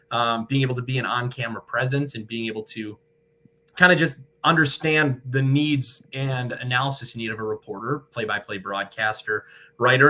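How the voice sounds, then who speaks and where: A man's voice: mid-range voice, pushed and bright. An American man in his twenties from Michigan, answering interview questions conversationally in an earnest, articulate way with frequent hesitations. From Michigan, United States. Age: 20s. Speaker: sportscaster, interview guest.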